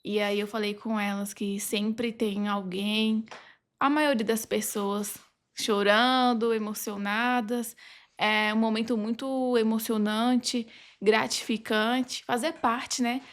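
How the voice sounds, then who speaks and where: A woman's voice: a little high, fairly even intonation, slow pace. A young woman with a Brazilian accent speaking as a podcast guest, giving a personal account in an informal, conversational way. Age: young adult. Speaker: podcast guest, legal assistant. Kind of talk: personal account in casual interview.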